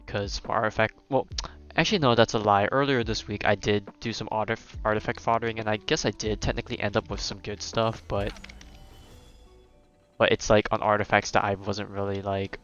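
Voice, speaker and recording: A man's voice: fairly low pitch, steady tone, a little fast, a bit bright. A young man chatting casually and thinking aloud as he commentates on a game he is streaming live. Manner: rambling, conversational, thinking aloud. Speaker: game livestreamer. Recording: slightly dull mic over game music. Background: game music.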